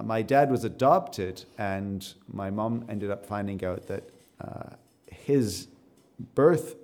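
A man with a Canadian accent preaching a sermon to a live audience, conversational and full of ums and repeated words, building up to emphatic, louder stresses. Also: on the low side.

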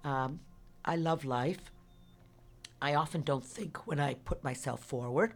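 An older woman being interviewed on a radio show, talking in an animated, emphatic way with a faintly noisy background. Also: deep voice, measured pace, faint music behind. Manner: animated, emphatic, spiritual-minded. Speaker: guest.

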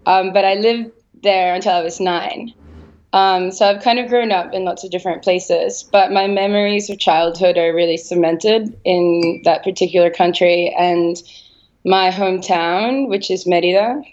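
A woman's voice: lowish voice, fairly even intonation, moderate pace, darkish tone. A woman from Venezuela, an artist, giving casual, reflective answers in an interview and feeling her way through her thoughts. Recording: slightly dull, lo-fi sound.

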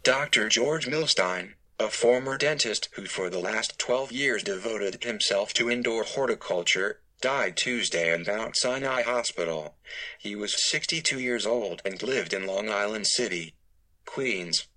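A man's voice: fairly low pitch, measured pace, low-quality recording. A man reading a newspaper obituary aloud as scripted narration. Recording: loud music mixed under the voice.

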